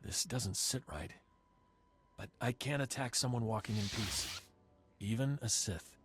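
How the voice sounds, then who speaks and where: An actor playing a righteous, principled Jedi master in a video game, grave and formal with a husky voice. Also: male voice, on the low side, unhurried, background noise, close to an everyday voice. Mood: reluctant, warning. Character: stern, righteous male Jedi master guarding peace. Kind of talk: video game dialogue acting.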